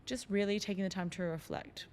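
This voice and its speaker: A woman's voice: mid-range voice, steady tone, brisk, a little mellow, big-room sound, a little hiss or hum. A woman hosting a solo podcast, talking in a loose, reflective way with lots of fillers. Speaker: podcast host.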